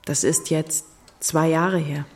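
A woman's voice: low-pitched, fairly even intonation, takes it slowly, darkish tone. A woman playing a stern but kindly middle-aged orphanage matron in an audio drama, grave and formal. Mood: grave, sorrowful. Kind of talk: German audio drama acting.

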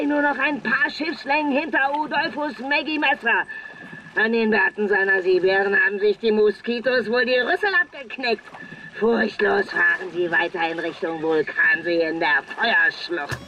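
An actor playing an excited, cheeky race commentator in a children's pirate radio play. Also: mid-range voice, slight background noise, rough recording. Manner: exclamatory, dramatic, cheeky. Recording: music behind.

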